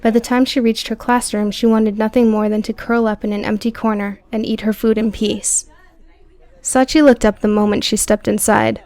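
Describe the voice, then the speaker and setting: A woman's voice: mid-range voice, level tone, normal speed, a little mellow, no echo. A woman reading scripted audio book narration as the narrator.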